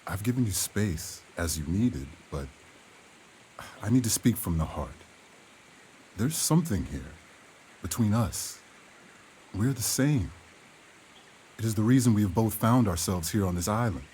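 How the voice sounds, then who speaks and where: Earnest castaway man, expressive and gentle. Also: on the low side, a little slow, long pauses, a little hiss or hum. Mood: tender, earnest. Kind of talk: period audio drama acting.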